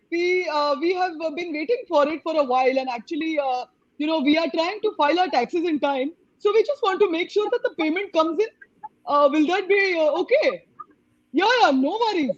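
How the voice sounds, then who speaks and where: A woman putting on a lowered male voice for a polite, hesitant phone caller. He asks after a late payment with plenty of pauses, and the sound is a little dull. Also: no echo, lightly acted.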